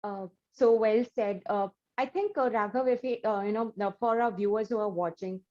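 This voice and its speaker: A woman's voice: medium pitch, normal speed, a little mellow. Rambling woman interviewer, slightly muffled. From India. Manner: rambling, many fillers and "you know". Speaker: interview host. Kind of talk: interviewer asking long questions. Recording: slightly dull, lo-fi audio.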